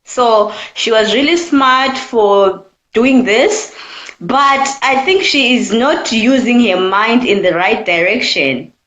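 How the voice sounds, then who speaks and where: Zimbabwean woman, twenties, earnest caller, slightly high pitch, echoey. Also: takes it slowly, a bit bright. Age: 20s. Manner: earnest, repeats her points. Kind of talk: call-in opinion chat.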